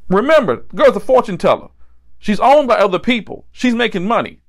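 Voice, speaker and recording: A middle-aged American man preaching a sermon, phrasing deliberately and repeating key lines for emphasis. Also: high voice, very animated. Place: indoor set with a decorated backdrop.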